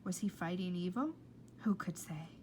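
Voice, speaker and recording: A woman reading a children's book aloud with expressive, playful and dramatic narration. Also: on the low side, moderate pace, slight background noise. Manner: dramatic, playful delivery with whispers and asides. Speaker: read-aloud storyteller.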